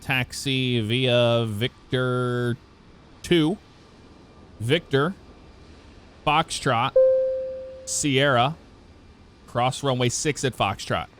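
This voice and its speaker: A man speaks as a ground controller in a flight simulation. He delivers standard air traffic phraseology in short, procedural chunks, with a medium-pitched voice. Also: drawn-out words, long pauses, a bit bright, clean tone.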